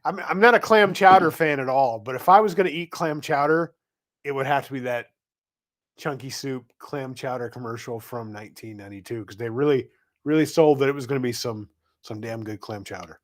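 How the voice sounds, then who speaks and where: A man's voice: mid-range voice, fairly quick. A middle-aged man in casual conversation as a podcast co-host. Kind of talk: casual chat.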